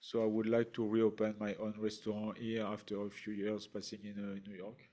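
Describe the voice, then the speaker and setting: French-accented man, low and flat. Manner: halting, searching for words with many fillers. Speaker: restaurant applicant, chef. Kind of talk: presenting at a public meeting.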